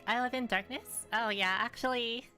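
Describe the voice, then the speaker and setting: A woman's voice: fairly high pitch, steady tone, moderate pace, sharp tone, faint music behind. A young woman live streaming a game from her room, chatting offhandedly and rambling as she answers viewers. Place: room at home.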